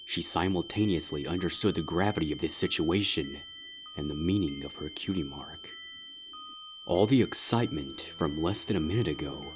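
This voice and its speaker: A man with a deep voice narrates dramatic fiction. He reads scripted prose in the narrator's role, with music playing underneath.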